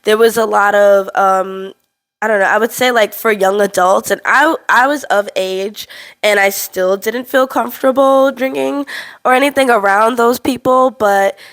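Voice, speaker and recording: A woman in her twenties speaks to her camera in a casual, frank vlog, her voice a little stuffy from a cold. She tells her story in an animated, candid way, her pitch sitting in an ordinary middle range. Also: normal speed. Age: 20s. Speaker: vlogger.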